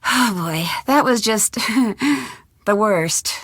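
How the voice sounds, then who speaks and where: An actress playing an inquisitive young American detective in a video game, speaking earnestly and thoughtfully in phone dialogue. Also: female voice, on the high side, expressive, very slow, fairly bright tone, breathy edge, dry recording, studio quality, exaggerated voice. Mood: affectionate. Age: young adult.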